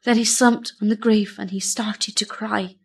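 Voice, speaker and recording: A woman narrating a storybook poem in a theatrical, rhythmic and measured manner. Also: medium pitch, steady tone, vocal fry, husky.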